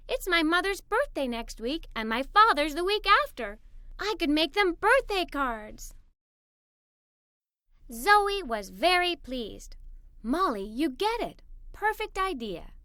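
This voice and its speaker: A woman reading a scripted children's story aloud in an animated, expressive way. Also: high-pitched, no room sound, studio quality. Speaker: story narrator. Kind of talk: scripted children's story read-aloud, first person as a young girl.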